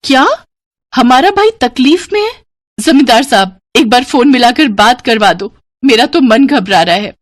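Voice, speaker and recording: A woman's voice: high-pitched, lively intonation, normal speed, no echo, clean studio sound. A woman voicing devoted, protective village sisters in a moral cartoon, speaking in an earnest, emotional way. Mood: worried. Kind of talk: Hindi moral cartoon dubbing.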